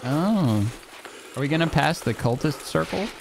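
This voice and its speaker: A man's voice: a little high, lively intonation, normal speed, vocal fry, noisy background. A man in his thirties streaming a game from his home setup, joking and laughing with a friend. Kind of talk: casual gaming chat. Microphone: desk mic on a boom arm.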